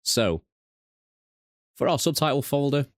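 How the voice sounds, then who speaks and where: A British man hosting a software tutorial, talking informally and self-deprecatingly through a step-by-step walkthrough. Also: mid-range voice, lively intonation, measured pace, no room sound, studio quality. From United Kingdom. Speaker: tutorial host. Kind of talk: casual software walkthrough narration.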